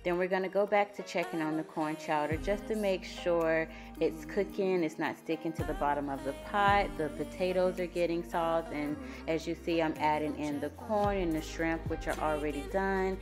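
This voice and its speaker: A woman's voice: sits low, moderate pace, music in the background. A young American woman vlogging about her cooking in an informal, conversational way. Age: young adult. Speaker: vlogger, host. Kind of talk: casual cooking vlog talk. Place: home kitchen, indoors.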